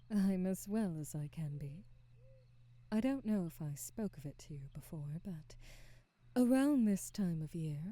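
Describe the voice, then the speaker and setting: A woman playing a kindly, centuries-old witch shopkeeper in an ASMR roleplay, gentle, motherly and wise, in a soft breathy half-whisper with soothing, measured, formal phrasing. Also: fairly low pitch, no echo. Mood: gentle, composed.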